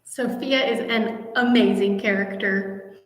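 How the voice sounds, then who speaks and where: A woman's voice: mid-range voice, steady tone, unhurried. A woman hosting a casual live fan Q&A, talking in a gushing, enthusiastic way. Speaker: host of a fan channel. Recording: echoey room with long reverb.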